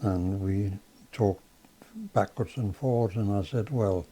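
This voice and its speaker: An older man with a Danish accent, a native Danish speaker, recalling his life in a slow, halting way with many pauses and fillers. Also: on the low side, mellow, slightly rough. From Denmark. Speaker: father being interviewed. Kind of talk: casual reminiscing interview.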